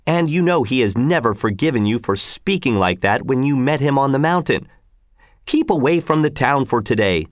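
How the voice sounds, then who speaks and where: A man's voice: medium pitch, expressive, normal speed, no room sound, natural voice. A man reading a story voices a worried wife and mother who scolds and frets insistently, in a raised voice. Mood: anxious. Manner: nagging, fretful, insistent. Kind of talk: audiobook character reading.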